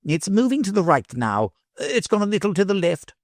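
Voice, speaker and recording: A man's voice: high-pitched, very animated, moderate pace, dry recording, clean studio sound, pitched above the performer's own voice. A man reading aloud, voicing a cunning middle-aged official who growls and schemes. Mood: sly. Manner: growling, sly.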